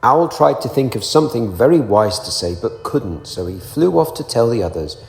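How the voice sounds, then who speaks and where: A man reading a children's storybook aloud in an expressive narrating style. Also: medium pitch, moderate pace, creaky. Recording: roomy echo, long reverb, faint music.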